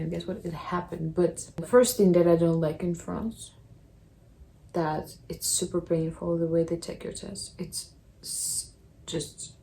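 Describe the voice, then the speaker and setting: A young woman, chatting casually to camera in halting English, often restarting her sentences. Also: fairly low pitch, vocal fry, warm tone, low-quality recording. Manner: halting, apologetic non-native English with restarts. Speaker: vlogger. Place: apartment.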